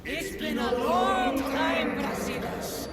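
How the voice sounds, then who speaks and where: A woman's voice: high voice, slow pace. A woman playing a menacing, taunting apparition of a deadly warrior in a video game, in ghostly reverb. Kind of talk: dramatic video game acting. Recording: very echoey, long reverb tail.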